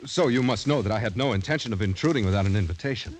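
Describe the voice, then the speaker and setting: Proud young gentleman played by a man, formal, expressive delivery, faintly hissy old radio recording. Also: fairly low pitch, rapid-fire, natural voice. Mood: politely puzzled. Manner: formal, clipped, haughtily polite. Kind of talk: 1940s radio drama acting.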